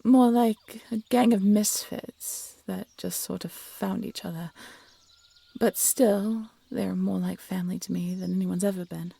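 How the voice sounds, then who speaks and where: A woman playing a blunt, sarcastic young huntress, a soft-hearted failed assassin, in an audio roleplay drama. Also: medium pitch, steady tone, measured pace, gravelly, husky, full character voice. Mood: wry, grudging.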